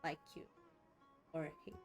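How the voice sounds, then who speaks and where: A woman's voice: measured pace, faint music behind. A woman giving casual let's play commentary as a streamer, informal, giggly and reactive in her manner. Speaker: streamer playing a game.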